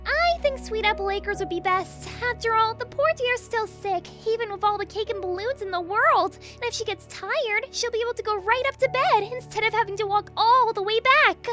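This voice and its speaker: A woman voicing a bubbly, hyperactive pink female party pony in a fan audio drama, chattering excitedly. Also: very high-pitched, moderate pace, few pauses, music in the background.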